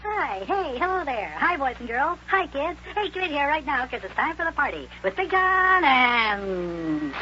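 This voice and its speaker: Very squeaky excitable elf boy. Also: moderate pace. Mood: excited. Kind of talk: 1950s children's radio show opening. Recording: noisy, muffled old radio recording.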